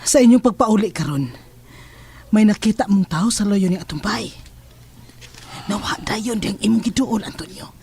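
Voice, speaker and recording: A woman acting as a middle-aged mother in a horror radio play, fearless and watchful. She speaks gravely and with measure, at times hushed, over a faintly noisy recording. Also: mid-range voice, normal speed, long pauses, close to an everyday voice. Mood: grave, wary. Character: watchful, fearless mother figure facing hidden enemies. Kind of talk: horror audio drama acting.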